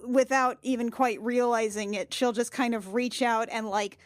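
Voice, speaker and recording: A woman's voice: fairly high pitch, steady tone, normal speed, no room sound. Casual woman player, close dry sound. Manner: loose, thinking aloud. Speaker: player in a tabletop game. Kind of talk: casual improvised description of character actions.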